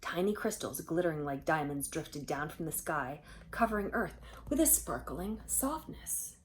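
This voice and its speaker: A woman reading a picture book aloud to children as a storyteller, animated and pausing to put questions to her young listeners. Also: low voice, a little hiss or hum.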